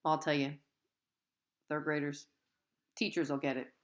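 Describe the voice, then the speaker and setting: Low-voiced woman teacher reading aloud. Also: a little slow. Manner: expressive, addresses children directly. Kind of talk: animated children's read-aloud with teaching asides.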